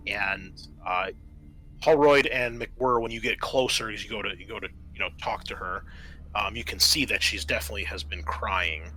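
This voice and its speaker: A man running a tabletop roleplaying game as game master, describing scenes in a halting, conversational way and often restating himself. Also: lowish voice, sharp tone, low-quality recording.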